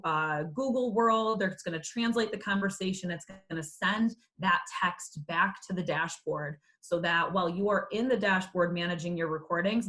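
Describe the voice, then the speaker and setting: A woman's voice: medium pitch, fairly bright tone. A woman presenting in a webinar, explaining features in a business-like way.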